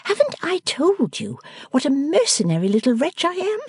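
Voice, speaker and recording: A woman's voice: high-pitched, huge pitch swings, normal speed, darkish tone, no echo, clean studio sound, pitched above the performer's own voice, full character voice. A woman reader voicing a playful, teasing young woman who talks in an emphatic, theatrical way.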